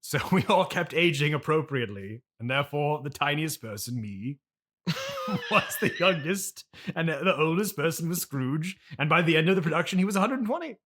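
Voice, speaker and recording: A man's voice: a little high, big pitch swings, moderate pace, a bit bright. Man playing a vain, theatrical old stage actor. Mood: proud, earnest. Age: older. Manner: theatrical, self-important, pleading. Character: vain, needy seventy-year-old classically trained stage actor. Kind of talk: improvised comedy interview.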